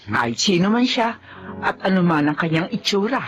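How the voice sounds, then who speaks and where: A woman's voice: medium pitch, lively intonation, moderate pace, over loud music, natural voice. An actress playing a worried, kindly mother. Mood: concerned.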